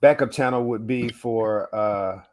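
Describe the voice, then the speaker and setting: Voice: mid-range voice, unhurried, a little mellow. A speaker explaining things matter-of-factly in a casual group chat.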